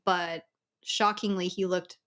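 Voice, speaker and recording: A woman's voice: lowish voice, steady tone, slow, a bit bright. A woman co-hosting a casual book discussion in a chatty, loosely strung way, peppered with "like". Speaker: podcast co-host.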